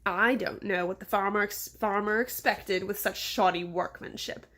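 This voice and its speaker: A woman voicing a pompous, rude male mayor with a British accent and a drawn-out, condescending delivery in a story. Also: mid-range voice, fairly even intonation, a bit bright. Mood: pompous, insistent.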